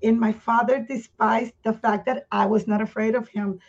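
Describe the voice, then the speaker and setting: A woman, her voice set a little high. She talks candidly as a podcast guest, circling back and restarting her phrases. The sound is muffled and dull. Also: fairly even intonation. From Puerto Rico. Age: 50s. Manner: candid, earnest, speaks in halting restarts. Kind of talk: personal life story in a podcast interview.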